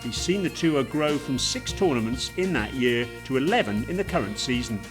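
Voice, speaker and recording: A man reads a sports feature in a smooth, measured way, his pitch rising and falling with plenty of movement. Plucked guitar music runs clearly underneath. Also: animated. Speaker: narrator. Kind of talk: scripted sports narration.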